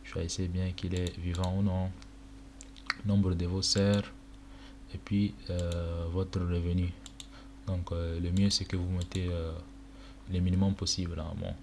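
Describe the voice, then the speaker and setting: Low, flat-voiced young man from Chad. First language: Arabic. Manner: casual, halting, many fillers. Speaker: tutorial presenter. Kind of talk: screen-recorded walkthrough. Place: indoor room at a computer. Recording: noisy home recording with keyboard clicks.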